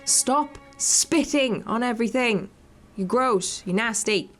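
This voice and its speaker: A woman's voice: a little high, takes it slowly. Woman reading a game aloud over music. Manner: expressive reading, teasing asides in coarse language. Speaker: video game streamer. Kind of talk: reading visual novel text aloud with joking commentary. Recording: music under the voice.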